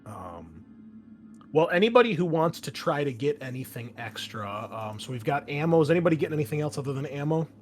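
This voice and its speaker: A man's voice: fairly high pitch, sing-song, brisk. A man explaining tabletop game rules casually as game master, thinking aloud as he goes. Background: background music.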